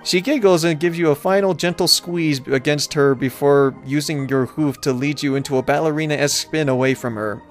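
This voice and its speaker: A man reading a visual novel aloud as a let's player, casually narrating and adding halting, bemused asides. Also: a little high, soft background music. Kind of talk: casual gameplay narration and commentary.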